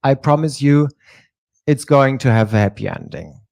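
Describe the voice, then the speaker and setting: A man, giving a prepared stage talk as a drag performer and telling his story in a measured way. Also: mid-range voice, creaky, darkish tone, no room sound.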